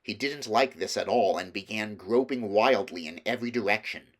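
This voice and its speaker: A man's voice: fairly low pitch, moderate pace. A man reading a children's novel aloud as scripted storybook narration. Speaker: audiobook reader.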